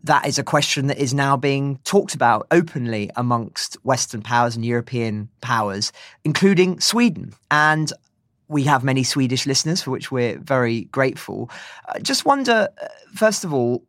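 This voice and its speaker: Guy hosting an interview podcast, medium pitch, dry sound, polite formal questions. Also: expressive, normal speed, a bit bright, no room sound. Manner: polite, articulate.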